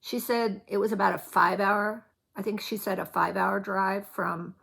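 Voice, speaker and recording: A woman's voice: mid-range voice, steady tone, moderate pace, vocal fry, a bit bright, husky. A middle-aged woman from Colorado with a Midwestern American accent, chatting casually as a needlework vlogger, rambling and often backtracking mid-thought. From Colorado, United States. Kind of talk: casual chatty vlog recap.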